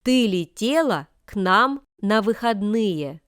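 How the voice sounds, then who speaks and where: A woman reading scripted example sentences for language learners with careful, deliberate enunciation. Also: mid-range voice, expressive, a bit bright, smooth, no room sound, clean studio sound. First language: Russian. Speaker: language lesson reader.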